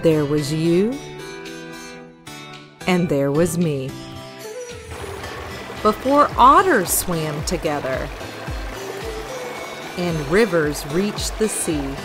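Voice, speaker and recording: A middle-aged woman presents to young children as a read-aloud host. Her delivery is very slow and clearly enunciated, with a lively, swooping pitch. Faint music plays behind her voice. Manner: animated, slow, careful enunciation, sounding out and spelling words. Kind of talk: storybook reading and interactive teaching for young children.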